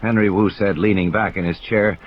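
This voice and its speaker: A man's voice: on the low side, steady tone, moderate pace, muffled recording, a little hiss or hum. A man narrating a novel in a theatrical, suspenseful storytelling style over background sound effects. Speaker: audiobook narrator. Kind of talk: scripted dramatic novel narration.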